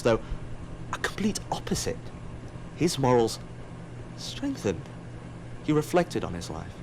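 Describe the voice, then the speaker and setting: An actor playing a wry, ominous narrator of a sci-fi audio drama, dramatic and sardonic. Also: male voice, mid-range voice, background noise, close to an everyday voice. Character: wry, ominous, all-seeing storyteller of a sci-fi tale.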